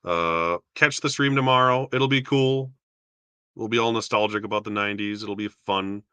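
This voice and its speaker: A young man with a deep voice casually rambling about awards results, self-deprecating and chuckling now and then. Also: moderate pace, pushed and bright, smooth, dry recording, dull audio. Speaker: YouTube film awards commentator. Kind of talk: casual solo commentary.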